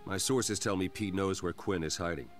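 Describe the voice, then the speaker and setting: A man's voice: low-pitched, moderate pace, faint music behind, natural voice. An actor playing a grave, worldly man giving stern counsel in a video game. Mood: grave warning.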